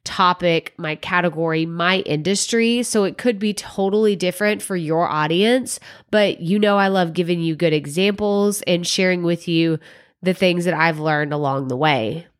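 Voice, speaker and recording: A congested woman with a slightly low voice hosts a solo podcast. Her voice sounds stuffy and nasal from a cold. She talks in a chatty, conversational way and drops in casual fillers. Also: moderate pace, clear, resonant tone. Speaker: podcast host.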